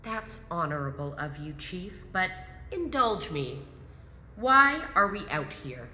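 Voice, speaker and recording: An actress playing a composed, commanding imperial grand marshal in a sci-fi audio drama, measured and probing. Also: female voice, fairly low pitch, big pitch swings, pushed and bright, slight background noise, heavily acted. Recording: echoey hall reverb, music underneath.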